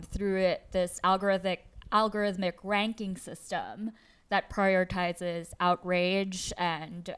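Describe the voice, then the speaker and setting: Clear-voiced Filipina woman thinking aloud. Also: fairly low pitch. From the Philippines. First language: Tagalog. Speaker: guest journalist. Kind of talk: conversational on-stage interview answers. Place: auditorium stage. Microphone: handheld microphone held at chest.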